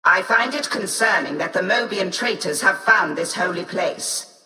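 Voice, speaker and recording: A woman's voice: fairly low pitch, animated, normal speed, pushed and bright. An actress voicing a haughty, disdainful female tech-priest of a machine cult in a video game, with a formal, imperious manner. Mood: cold suspicion. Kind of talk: video game character acting. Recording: distant, echoing, long reverb.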